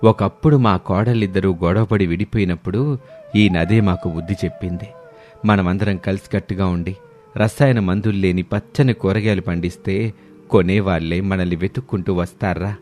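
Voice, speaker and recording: A man's voice: low-pitched, fairly even intonation, moderate pace, darkish tone, soft background music, voice pushed down, close to an everyday voice. A man voicing a kindly old village father-in-law giving advice in a storybook tale. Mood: earnest, advising. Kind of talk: storybook dialogue.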